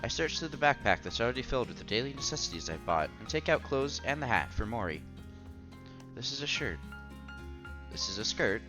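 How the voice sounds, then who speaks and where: A man's voice: medium pitch, very animated, brisk, close to an everyday voice. A man playing an earnest, bashful young college student who narrates his own romance in a visual novel. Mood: eager, hopeful. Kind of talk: visual novel read-aloud. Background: music under the voice.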